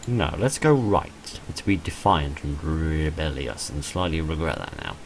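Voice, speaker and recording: A man with a deep voice reads a visual novel aloud while playing. His delivery swings widely in pitch as he dramatises the text, then drops into dry, understated asides. A light noise sits behind the voice. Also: vocal fry, poor audio. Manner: dry, understated humour, dramatic when reading. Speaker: gamer recording a playthrough.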